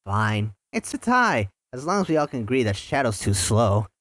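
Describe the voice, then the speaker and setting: A man voicing an excitable, cocky young cartoon hedgehog in a fan audio drama, boastful and eager. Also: medium pitch, moderate pace, no room sound. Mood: taunting, excited.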